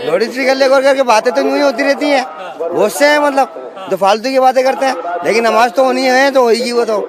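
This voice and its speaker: A local man speaks in a very high-pitched voice in a street interview. Crowd noise surrounds him outdoors. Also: a little fast. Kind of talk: street vox pop.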